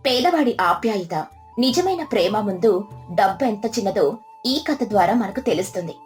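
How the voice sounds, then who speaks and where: A woman's voice: medium pitch, lively intonation, moderate pace, a little mellow, soft background music. A woman narrating a scripted moral story as a storyteller.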